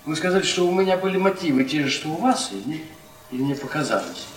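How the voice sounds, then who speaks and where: A man's voice: on the high side, very animated, quick pace, echoey room, soft background music, poor audio. An actor playing an embittered, mocking middle-aged history professor in a radio play, needling his listener and theatrically repeating and stretching words. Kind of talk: Russian stage-style radio play acting.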